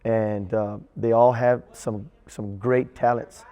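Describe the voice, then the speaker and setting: A middle-aged man speaks with a very even pitch and a dark, relaxed tone, heard with long room reverb. His interview answers are reflective and come in halting, thoughtful phrases, as from a coach looking back. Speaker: play director and coach.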